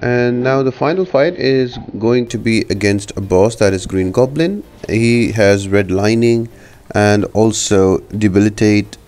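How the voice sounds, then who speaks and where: A man's voice: lowish voice, a little mellow, clear, resonant tone. A man giving instructional walkthrough commentary as the host of a gaming guide, explaining things step by step.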